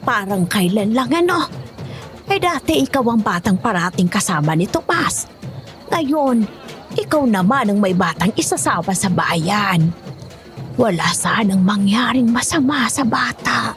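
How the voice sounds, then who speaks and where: A woman's voice: mid-range voice, expressive, a little slow, soft background music, lightly acted. A woman with a Filipino accent playing a chatty, foreboding older village auntie in an audio horror drama. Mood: friendly.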